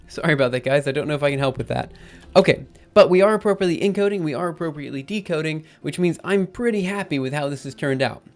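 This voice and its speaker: A man live-streaming his coding session, thinking aloud in an upbeat, chatty way while typing, his voice a little raspy. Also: fairly high pitch, fast. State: slightly raspy after a drink. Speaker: programming livestreamer. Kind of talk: live coding stream, casual talk-through.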